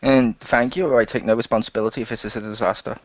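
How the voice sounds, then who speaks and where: A man's voice: mid-range voice, fairly even intonation, fast, no echo. A man chatting casually as a cast member on a role-play show. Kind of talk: casual chat. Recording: dull lo-fi voice chat.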